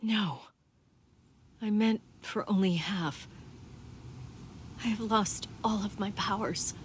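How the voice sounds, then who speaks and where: Woman playing a slow, solemn female warrior. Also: medium pitch, slight background noise, natural voice. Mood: stunned, pondering. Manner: formal, measured, stately phrasing. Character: solemn, composed female Soul Reaper warrior, proud and grave. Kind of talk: dramatic English video game voice acting.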